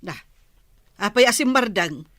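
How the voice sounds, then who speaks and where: A woman acts out a skeptical Filipino village wife in a radio drama. She questions and probes drily in a slightly high voice, recorded close with little room sound. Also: lively intonation, a little slow, fairly bright tone, dry recording.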